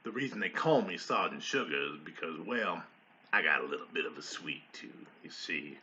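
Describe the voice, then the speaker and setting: A man playing a folksy army staff sergeant and mess cook, telling his story in a theatrical monologue with pauses and emphatic swells. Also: deep voice, expressive, bright, forceful tone. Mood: folksy, nostalgic. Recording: dull, lo-fi sound.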